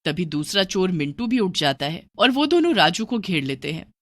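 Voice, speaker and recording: Expressive woman storyteller, lower-pitched. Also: normal speed, no room sound, clean studio sound. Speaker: narrator. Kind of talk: scripted story narration for a Hindi horror cartoon.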